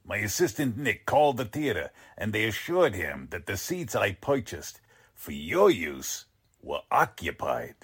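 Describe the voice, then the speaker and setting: An actor playing a menacing, mock-polite con artist posing as a Count in a 1940s-style radio drama. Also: male voice, lowish voice, very animated, a little slow, slightly rough, full character voice. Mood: smug menace.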